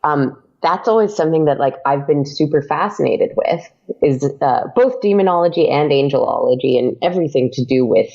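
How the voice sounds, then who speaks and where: A woman's voice: deep voice, animated, fairly quick, vocal fry, dark tone, lo-fi sound. A woman chatting casually as an interview guest. She talks freely with lots of fillers and laughs often. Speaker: podcast guest.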